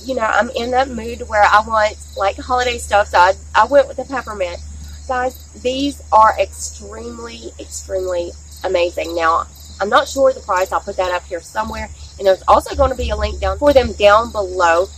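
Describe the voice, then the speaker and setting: A woman's voice: medium pitch, normal speed, slightly rough. A woman, chatty and enthusiastic, reviewing makeup in her backyard, her voice a little scratchy from a sore throat. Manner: chatty, enthusiastic, says "y'all" and "guys" often. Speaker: beauty vlogger. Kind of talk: casual product review. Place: outdoors in a backyard. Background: crickets and insects, barking dogs.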